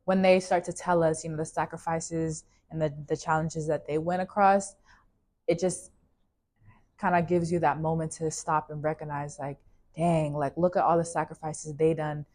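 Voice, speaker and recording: A young woman from California speaks in a low, fairly flat voice. She talks at an easy pace and leans on casual fillers as she tells her story in an interview. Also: moderate pace. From California, USA. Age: young adult. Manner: relaxed, with many fillers like "like" and "you know".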